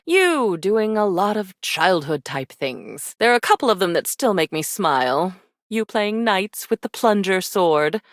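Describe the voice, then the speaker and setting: Low arch game lady, darkly elegant n teasing, voice swoops all over, super dry sound. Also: no echo. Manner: arch, drawling, teasing endearments. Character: darkly elegant, theatrical woman, living embodiment of memories. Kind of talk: video game character acting.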